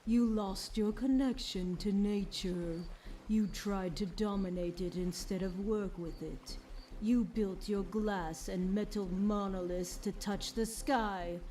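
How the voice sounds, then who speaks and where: Slow, dark-voiced vengeful tree spirit acted by a woman. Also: mid-range voice, steady tone, slight background noise, close to an everyday voice. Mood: accusing, scornful. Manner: grandiose, accusing, measured. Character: vengeful, imperious tree spirit possessing a broken pony's body. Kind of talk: audio drama villain monologue.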